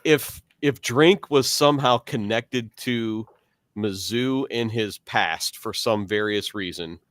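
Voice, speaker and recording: A man's voice: lowish voice, slow pace, a bit bright, no echo. A man co-hosting a casual sports podcast, rambling through his points with restarts. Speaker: podcast co-host, coach.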